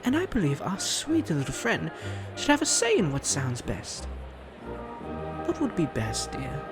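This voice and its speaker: An actor playing a suave, smug, flirtatious prince in a romantic roleplay audio drama, teasing and haughty with a sinister edge. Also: male voice, fairly high pitch, wildly swooping pitch, a little slow, exaggerated voice. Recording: music under the voice.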